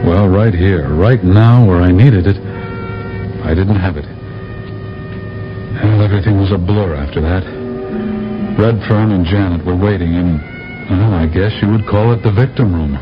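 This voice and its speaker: Actor as a cocky space captain narrating, low, wry, music under dull old radio sound. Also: male voice, normal speed, long pauses, natural voice. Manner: wry, easygoing storytelling. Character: confident male space captain, smooth-talking. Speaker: narrator of his own story. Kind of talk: 1970s radio drama acting. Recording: vintage radio broadcast with music bed.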